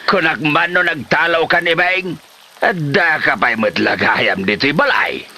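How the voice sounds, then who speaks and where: A man's voice: a little high, unhurried, bright, forceful tone, heavily acted. A man playing a quarrelsome, stubborn old husband in an Ilocano comedy radio drama, speaking with an Ilocano accent in a bickering, exclamatory way. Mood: scornful.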